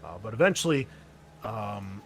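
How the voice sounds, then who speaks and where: A man with a fairly low voice acts as game master for a tabletop roleplaying game. His phrasing is informal and halting, feeling its way along. Some steady noise sits behind him.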